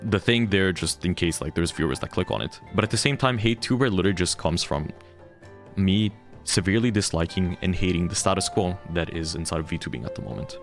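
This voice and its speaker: A young adult man with a deep voice, a streamer ranting frankly and heatedly about his community and swearing freely. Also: a little fast, soft background music. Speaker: VTuber streamer. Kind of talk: candid ranting monologue.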